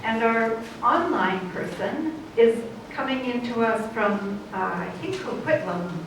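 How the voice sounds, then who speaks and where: Woman moderator in a booming echoey hall. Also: expressive, measured pace. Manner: warm, conversational, some restarts. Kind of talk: introducing panelists. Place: conference hall. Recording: very echoey hall, distant, noisy.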